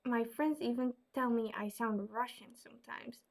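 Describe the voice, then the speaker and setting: A woman's voice: fairly high pitch, fairly even intonation, normal speed, gravelly. A young woman from the Netherlands with a Dutch accent, answering viewer questions in a casual vlog, hesitant and full of fillers. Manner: hesitant, many fillers and soft asides.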